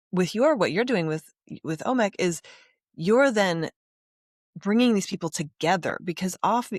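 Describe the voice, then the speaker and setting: A woman's voice: lowish voice, no room sound, clean studio sound. Reflective woman hosting an interview. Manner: reflective, sums up the guest's points at length. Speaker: podcast host. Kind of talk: conversational interview.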